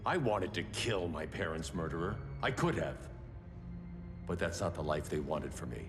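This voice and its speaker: Deep, brooding male vigilante hero. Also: animated. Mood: somber resolve. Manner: terse, brooding, measured. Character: grim middle-aged male vigilante superhero, stern and principled. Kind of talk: dramatic game cutscene acting. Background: loud cinematic music.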